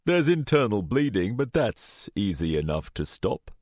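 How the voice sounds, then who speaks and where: A man's voice: fairly low pitch, big pitch swings, a little slow, a little mellow, dry recording, clean studio sound. A man voicing a coolly calculating male villain in an audiobook, with formal, unruffled, conversational phrasing. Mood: brisk unconcern.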